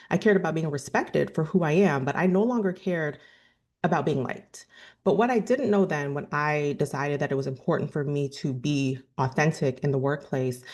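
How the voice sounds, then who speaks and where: A woman in her thirties with a deep register, earnestly telling a personal story to camera and often correcting herself mid-sentence. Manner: earnest, thoughtful, with frequent self-corrections and "you know". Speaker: vlogger.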